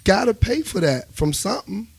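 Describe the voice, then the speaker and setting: A man's voice: high-pitched, normal speed, creaky, breathy edge, dry recording. A man with a Washington, DC accent talking casually and emphatically as a musician guest in a radio interview, often checking that he is understood. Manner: emphatic, animated storytelling with repeated check-ins.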